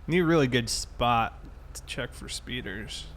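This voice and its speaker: A man chatting casually and thinking aloud in a halting way as he livestreams a police roleplay game. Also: mid-range voice, expressive, a bit bright, slight background noise.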